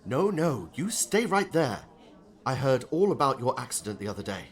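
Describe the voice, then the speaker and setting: A man's voice: medium pitch, sing-song, moderate pace. A man playing a friendly, solicitous businessman in audiobook dialogue, effusive and concerned.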